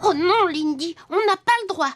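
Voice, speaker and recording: A performer playing a cheerful little wooden puppet boy in a children's cartoon, eager, childlike and expressive. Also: extremely high pitch, normal speed. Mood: earnest. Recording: music behind the voice. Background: children's cartoon music.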